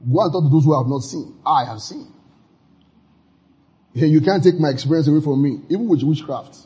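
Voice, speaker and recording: Very husky, exuberant male preacher. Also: fairly high pitch, a little fast, muffled recording. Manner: exuberant preaching with sudden shouts and laughs. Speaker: pastor. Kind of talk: sermon.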